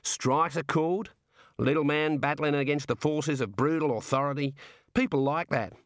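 A man's voice: medium pitch, big pitch swings, moderate pace, fairly bright tone, no echo, voice pushed up. Man acting male prison warder, cheerful, obliging, folksy slang, comic storybook, dry sound. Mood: chatty, encouraging. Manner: folksy colloquial Australian-style slang. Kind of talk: comic audiobook character voice.

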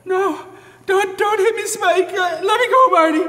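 A very high, frail old beggar, pleads in delirium with halting, repeated phrases. The live radio drama rings through a long, reverberant hall. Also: bright, forceful tone, heavily acted. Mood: terrified, delirious. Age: older. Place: church hall stage. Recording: echoey hall, live stage recording.

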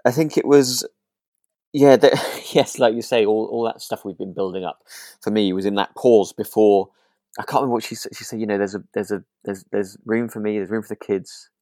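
A man's voice: fairly low pitch, brisk, dark tone, no room sound. Young male co-host, dry close recording, casual drama discussion, hesitant and self-deprecating delivery. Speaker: podcast co-host.

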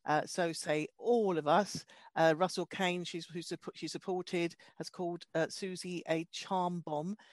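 A woman's voice: on the low side, steady tone, unhurried, no room sound. A woman comedian co-hosting a chat show, talking chattily with easy laughter and stumbling restarts. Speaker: comedian and co-host. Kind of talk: casual chat and guest introductions.

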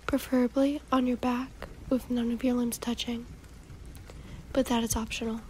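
A woman guiding a meditation in a soft, breathy, partly whispery voice, giving calm, gentle instructions in short, measured phrases. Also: on the high side, a little hiss or hum. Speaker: guided meditation host. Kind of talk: slow, soothing guided meditation narration.

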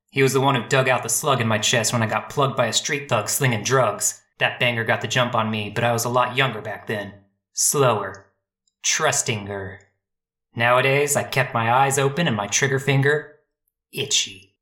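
A man playing an earnest, wry, bumbling police detective in a comic noir radio play, with a deadpan, hard-boiled delivery. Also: medium pitch, no room sound.

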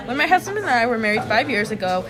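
A woman's voice: a little high, big pitch swings, quick pace, a crowd in the background. A young woman, a student, reading aloud and chatting casually and playfully during group schoolwork. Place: busy classroom.

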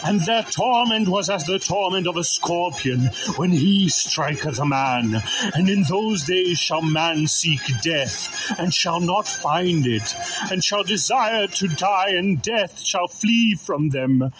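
A man reads archaic scripture aloud in a solemn style. His pace is very slow and his voice sits a little high for a man, with music clearly playing behind him. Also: lively intonation, rough recording. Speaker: scripture reader. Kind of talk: solemn reading of King James scripture.

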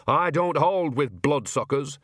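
A man's voice: on the high side, lively intonation, unhurried, a bit bright, no echo, clean studio sound, voice pushed up. Man voicing a cheeky, bossy old village witch. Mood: cheeky, blunt. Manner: folksy, blunt, colloquial. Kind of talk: audiobook character voice.

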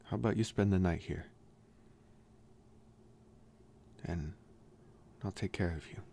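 A young man playing a protective bully with a secretly tender side in an intimate ASMR roleplay, speaking softly and haltingly with gruff care. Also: low-pitched, a little hiss or hum. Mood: caring. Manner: halting, soft-spoken, gruffly caring.

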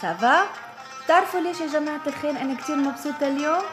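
A woman hosting a show, cheerful and quick, with music behind her. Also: high voice. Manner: cheerful, rapid delivery. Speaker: show host. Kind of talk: upbeat show hosting in Arabic.

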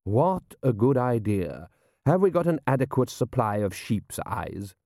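A man's voice: medium pitch, very animated, unhurried, mellow, no echo, studio quality. Man playing a suave, drawling, mocking prince. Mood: smoothly amused. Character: suave, mocking, unhurried male desert prince.

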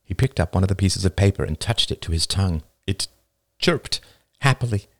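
A man narrates a first-person story in a deep, low voice. His pitch moves in lively swings as he tells it. The recording is dry and close. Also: fairly quick, no echo.

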